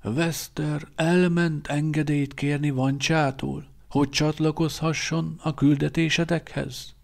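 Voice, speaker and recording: A man voicing an aged male vampire quartermaster, a soft, fond and knowing former mentor. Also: on the high side, little pitch movement, creaky, pitched above the performer's own voice.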